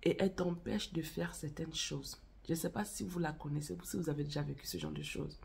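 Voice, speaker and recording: A woman's voice: low-pitched, steady tone, a little fast, vocal fry, a little mellow, slight background noise. A woman hosting a casual vlog, chatting directly to viewers and telling a story while she has a cold and a cough. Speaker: channel host. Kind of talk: casual spoken vlog on faith and marriage.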